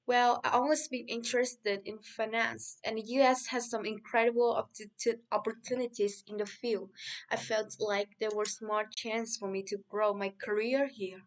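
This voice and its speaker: A woman's voice: a little high, level tone, normal speed, distant mic, lightly acted. A woman from Thailand playing a practical young finance worker, a guest in a scripted interview for English learners. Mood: calm.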